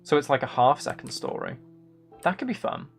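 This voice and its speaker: A man's voice: medium pitch, wildly swooping pitch, brisk, gravelly, faint music behind. A man chatting casually on a live game stream, musing and thinking aloud as he plays. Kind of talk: casual live stream chat while playing.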